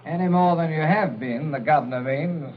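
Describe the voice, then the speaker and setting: An actor playing an idle, flippant young son who grumbles through a 1940s radio drama. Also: male voice, on the high side, moderate pace, a little hiss or hum, close to an everyday voice. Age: young adult. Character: idle, sulky grown son, flippant and sardonic. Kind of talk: 1940s radio thriller acting. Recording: muffled old 1940s radio broadcast.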